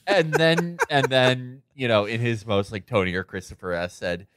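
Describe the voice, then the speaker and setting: A man's voice: mid-range voice, expressive, normal speed, background noise. An American man hosting a podcast, talking in casual comedic banter with a crude, profane, rambling manner. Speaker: podcast host.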